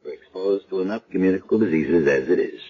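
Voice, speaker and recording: An actor playing a gruff but kindly, world-weary senior doctor in a 1970s radio drama, offhand and dryly clinical. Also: male voice, low-pitched, quick pace. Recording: dull lo-fi old radio broadcast.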